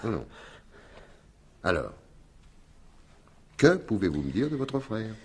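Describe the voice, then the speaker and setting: An actor playing a wry, probing police commissioner in a French radio crime drama, theatrical and insistent, repeating words for effect. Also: male voice, fairly low pitch, sing-song, slow, darkish tone, breathy edge, heavily acted. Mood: soothing, probing.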